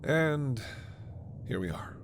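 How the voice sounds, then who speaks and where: A man playing a gentle, authoritative alien doctor in a sci-fi ASMR roleplay, speaking in a measured, formal and reassuring way. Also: mid-range voice, animated, heavy background noise. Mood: warm, guiding. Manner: measured, formal, reassuring, soft-spoken asides. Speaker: voice performer.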